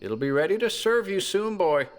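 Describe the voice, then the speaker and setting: A man's voice: high voice, big pitch swings, normal speed. An actor playing a dying old country grandfather, sinister and knowing, with a wheezy voice and rustic dialect. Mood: urgent, wheezing. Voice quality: wheezy, labored. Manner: rustic dialect, cryptic warnings.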